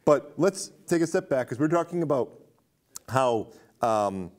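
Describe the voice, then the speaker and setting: A man's voice: medium pitch, expressive, a little slow. A middle-aged man discussing films casually in an explanatory, conversational way on a studio show. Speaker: film discussion show panelist. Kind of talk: casual film critique. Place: indoor studio with curtains, seated.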